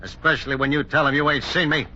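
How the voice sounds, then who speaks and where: A man's voice: a little high, steady tone, fast talker, lo-fi sound, close to an everyday voice. Man acting cocky radio outlaw, noisy old recording. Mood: menacing. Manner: slangy, sneering tough-guy talk. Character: cocky, menacing armed robber, a tough Western outlaw. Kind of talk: 1950s radio drama acting.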